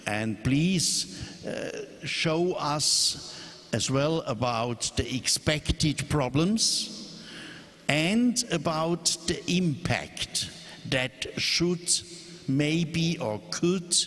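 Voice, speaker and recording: A man moderating a live conference panel, slow and halting, often repeating his instructions to the speakers. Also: a little high, animated, a bit bright. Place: conference hall. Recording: long reverb of a large hall.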